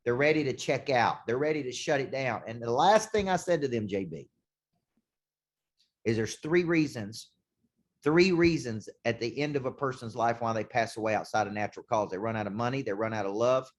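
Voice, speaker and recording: A man, a sales coach, giving an intense motivational talk with punchy repetition, slowing down to hammer key points. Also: medium pitch. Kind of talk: motivational talk to a show host. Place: condo.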